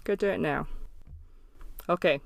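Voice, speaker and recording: A woman's voice: sits low, a little slow, gravelly, no room sound, clean studio sound. A woman hosting a podcast, talking in a reflective, candid way with frequent ums and restarts. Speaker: podcast host. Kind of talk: podcast intro and interview.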